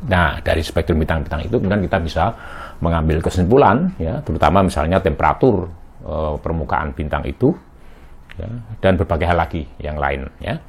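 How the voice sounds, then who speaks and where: A middle-aged man with a very deep voice teaches an astrophysics lecture. He speaks in a halting way, filling pauses with hums and tag questions. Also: slightly rough. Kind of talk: recorded university lecture.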